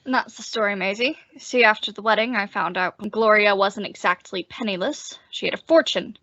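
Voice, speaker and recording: A woman's voice: mid-range voice, brisk, a bit bright, dry recording, close to an everyday voice. In a dry, dull-sounding radio comedy, a lovelorn young American husband played by a woman confides his troubles. The delivery is earnest and folksy, in the manner of 1940s radio. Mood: confiding.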